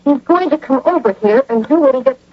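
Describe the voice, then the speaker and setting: An imperious, nagging wife in an old radio comedy-drama. Her voice is high and very animated, brisk and indignant. The sound is slightly muffled, as on an old broadcast. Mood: exasperated. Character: bossy, indignant wife and bank officer. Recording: dull, noisy old radio recording.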